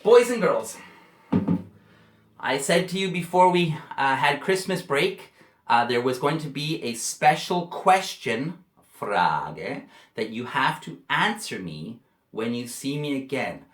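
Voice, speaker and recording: A man from Canada, giving a video lesson to young pupils in slow, simple, friendly speech. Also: mid-range voice, big pitch swings. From Canada, British Columbia. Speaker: English teacher for children. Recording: roomy echo.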